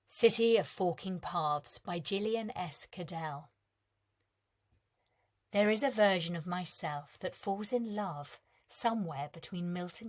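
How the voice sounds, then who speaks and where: A woman in her thirties reading a reflective piece of prose in a measured, calm way, softening at the ends of lines. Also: lowish voice. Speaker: reader of a competition entry.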